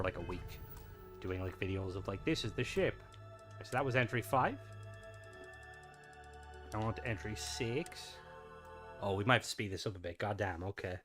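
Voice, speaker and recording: A man's voice: lowish voice, normal speed, pauses often. Rambling playful male streamer. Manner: rambling, filler-heavy, playful. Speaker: streamer host. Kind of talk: casual live-stream chat. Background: faint game music.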